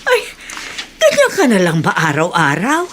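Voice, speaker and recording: A woman acting a gentle, worried, pious mother in a radio drama, speaking in an earnest, advising way. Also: lowish voice, wildly swooping pitch, unhurried, slight background noise, full character voice. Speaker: actor.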